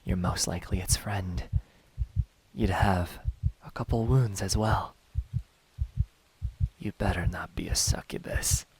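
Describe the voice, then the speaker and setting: A smug, menacing demon hunter, voiced by a man in an ASMR roleplay. His voice is low and husky, often sinking toward a whisper. He taunts his prey slowly and deliberately, taking his time over each phrase. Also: steady tone, darkish tone, no echo, exaggerated voice, low-quality recording. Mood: suspicious, taunting. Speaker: amateur voice actor.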